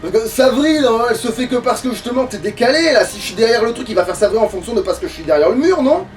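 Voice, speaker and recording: A man's voice: very high voice, animated, quick pace, recorded from a distance, soft background music. A man in casual gaming chat, grumbling and swearing crudely as he plays. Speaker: gamer playing on a let's play.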